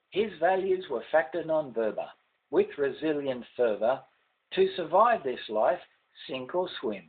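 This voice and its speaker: A man's voice: mid-range voice, breathy edge, narrow, tinny audio. An older man with an Australian accent reciting rhymed ballad verse with theatrical, emphatic phrasing. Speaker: amateur poet reading own verse.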